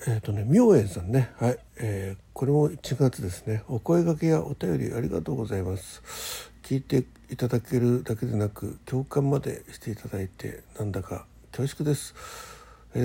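Guy doing a casual solo morning radio chat, really raspy breathy voice, kinda mellow and muted, mumbles to himself as he thinks. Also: mid-range voice. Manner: halting, thinking aloud, murmuring to himself. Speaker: host of a personal morning radio show. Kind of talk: casual solo chat with health log and listener letters.

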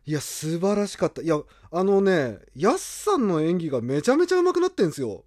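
A man's voice: sits high, sing-song, fairly quick, darkish tone, dry recording, studio quality. A man chatting casually as a podcast host, talkative and emphatic, readily repeating himself in agreement. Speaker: podcast host and radio drama writer. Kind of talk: casual chat.

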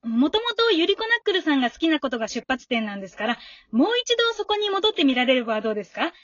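An amateur actress playing a friendly, encouraging female fan who talks politely and gives gentle advice in a radio drama. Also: sits high, sing-song, fast talker, no room sound, highs cut off. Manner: polite, supportive, gently advising.

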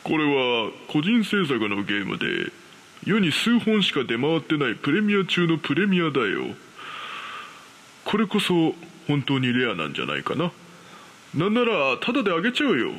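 A man's voice: fairly high pitch, wildly swooping pitch, normal speed, a bit bright, full character voice. A man playing a casual, easygoing bazaar seller in a horror audio drama, offhand and friendly with a coaxing manner. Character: casual, easygoing male bazaar game seller, faintly suspicious.

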